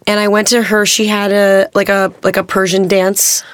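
A woman in her thirties chatting as a podcast guest, candid and quick to laugh, swearing freely. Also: mid-range voice, flat delivery. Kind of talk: casual chat.

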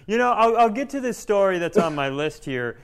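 American male co-host, slightly high voice. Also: expressive, fairly quick, creaky, a crowd in the background. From small-town United States. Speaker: podcast co-host. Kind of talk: casual comedic banter.

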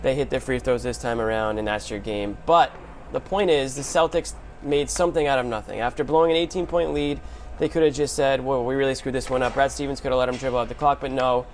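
A man hosting a sports show, recapping basketball in a casual, animated storytelling way with emphatic short phrases. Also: medium pitch, fast, a little hiss or hum.

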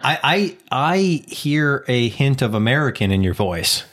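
A man's voice: mid-range voice, animated, measured pace, fairly bright tone. A man co-hosting a podcast, teasing and cracking jokes with his guests. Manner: joking, teasing. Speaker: podcast co-host. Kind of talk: casual chat.

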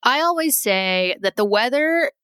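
A woman's voice: a little high, animated, a little slow, sharp tone, smooth, no room sound, studio quality. A woman co-hosting a podcast, giving casual, chatty and opinionated advice in an informal way.

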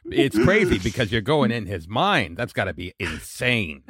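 A man's voice: lowish voice, big pitch swings, moderate pace, a bit bright, slightly rough. A man co-hosting a casual podcast, talking in an effusive, emphatic way. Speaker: co-host.

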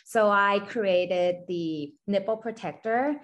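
A woman from Thailand, a brand founder and podcast guest, who talks in an earnest, steady way and fills her speech with "you know.". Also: medium pitch, slow, lo-fi sound. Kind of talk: podcast interview.

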